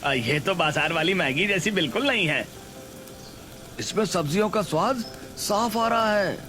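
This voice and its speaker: Guy doing skeptical but fair customer at a food stall, highish cartoon voice, music under. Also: expressive, measured pace, pushed and bright, full character voice. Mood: impressed. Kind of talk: cartoon dialogue acting.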